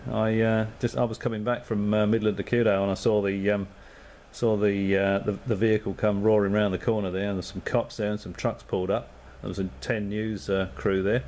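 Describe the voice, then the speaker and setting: Low-ish aussie guy rambling on a ham radio, lots of ums, faint hiss. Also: little pitch movement, a little fast, darkish tone. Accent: Australian. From Perth, Western Australia. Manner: easygoing, rambling, many fillers, signs off with call signs. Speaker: amateur radio operator. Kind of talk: casual radio chat. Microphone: radio transceiver microphone.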